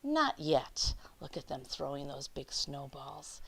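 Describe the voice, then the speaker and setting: A woman storyteller reading a picture book aloud to children, expressively, stretching key words, dropping into hushed asides and putting questions to young listeners. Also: sits low, sing-song, slow, gravelly, slight background noise.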